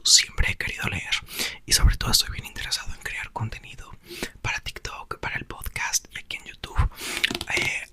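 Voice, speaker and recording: A young man from Mexico speaks entirely in a hushed whisper, leaning into a small clipped-on mic. His whisper is very bright and crisp, and it rises and falls widely in pitch. He talks in a relaxed, chatty way. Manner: soft whisper throughout. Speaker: ASMR creator. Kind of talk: whispered ASMR chat. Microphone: small mic clipped to a doll's hair.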